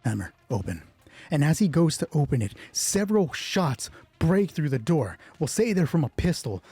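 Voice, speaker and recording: Guy thinking out loud as a solo game master, soft mellow voice, dry close sound, faint music. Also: on the high side, sing-song, normal speed, breathy edge, dry recording. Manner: thinks aloud, swears casually. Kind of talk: casual improvised game narration.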